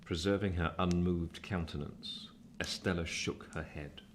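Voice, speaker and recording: A gentleman narrating his own past in a radio drama. His low voice is measured and literary, over faint noise. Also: steady tone. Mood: reflective. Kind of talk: scripted radio play narration.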